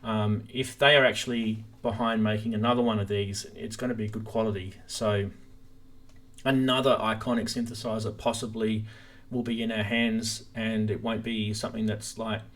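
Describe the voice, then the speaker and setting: A man's voice: fairly low pitch, steady tone, moderate pace, fairly bright tone. A man hosting a casual live stream about gear, talking informally and enthusiastically straight to his viewers.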